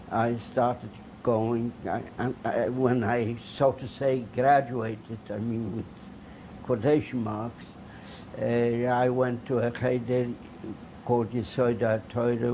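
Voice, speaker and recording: Older man from Poland, native Polish; slow, hesitant survivor testimony, mellow tone. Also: medium pitch, vocal fry, a little hiss or hum, poor audio. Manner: halting, many fillers and restarts. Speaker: Holocaust survivor giving testimony. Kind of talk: oral history testimony.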